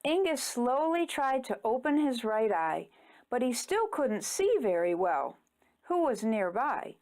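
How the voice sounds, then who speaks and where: Slow, high-pitched woman storyteller. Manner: expressive, deliberate, theatrical storytelling. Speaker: children's storyteller. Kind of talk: rhyming picture-book read-aloud.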